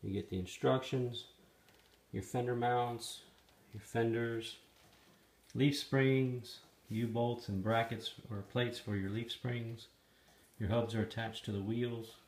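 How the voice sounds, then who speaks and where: A man casually narrating, step by step, the assembly of a trailer he is building, talking in a plain, matter-of-fact way with some halting pauses, filmed on a handheld phone in a garage. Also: mid-range voice, steady tone. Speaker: DIY video maker. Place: garage or shop and outdoors by a trailer. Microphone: handheld phone camera.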